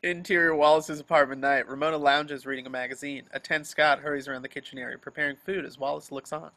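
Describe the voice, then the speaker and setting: A man's voice: fairly high pitch, steady tone, fast talker, bright, forceful tone. A man narrating the action lines of a film script at an amateur table reading. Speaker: reader of stage directions. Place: remote video call.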